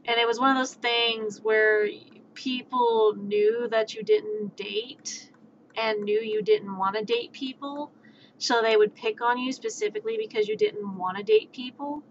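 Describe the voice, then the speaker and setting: High-voiced woman streamer, slow and casual. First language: American Sign Language and Spanish. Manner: sweary, joking, playful. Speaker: live streamer. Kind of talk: casual chat with acted-out back-and-forth dialogue. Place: indoor livestream. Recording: dull lo-fi mic, faint background noise.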